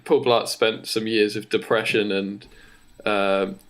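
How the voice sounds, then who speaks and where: A man co-hosting a podcast, casually making up a comic story and haltingly piecing it together. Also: on the low side, flat delivery, a bit bright. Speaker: podcast co-host.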